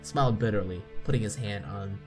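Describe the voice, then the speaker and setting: A man's voice: on the low side, darkish tone, faint music behind. A man hosting a game playthrough, reading the text aloud with asides and often stumbling and repeating words. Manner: halting reader, repeats misread words. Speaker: playthrough host.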